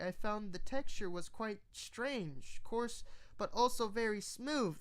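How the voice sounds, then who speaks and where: Woman doing a studious cartoon pony girl, higher pitch, earnest, inquisitive, analytical storybook character. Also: lightly acted. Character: bookish, analytical young female cartoon pony.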